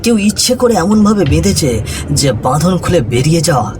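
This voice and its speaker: A man's voice: on the high side, big pitch swings, fairly quick, background noise. A man narrating a scripted ghost story in a dramatic storytelling style. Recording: loud background music.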